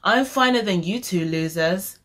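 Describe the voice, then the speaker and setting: A woman's voice: medium pitch, a little slow, fairly bright tone. Woman as male tiger, storybook villain, boastful, greedy, stretched-out declarations. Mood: boastful, scornful.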